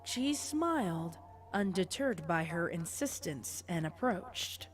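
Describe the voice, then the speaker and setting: Casting: female story narrator, lowish pitch, scripted prose over faint music. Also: big pitch swings, slow.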